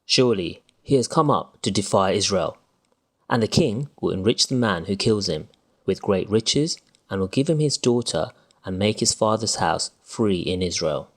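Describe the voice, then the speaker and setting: A man's voice: on the low side, expressive, moderate pace. A man playing a soldier reporting to his comrades in a dramatised Bible reading. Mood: grave reporting.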